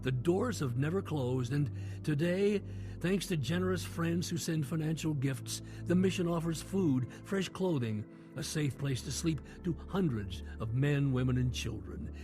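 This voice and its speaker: A man as announcer and narrator of a radio drama series, reading in a solemn, measured way. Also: fairly high pitch. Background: music bed.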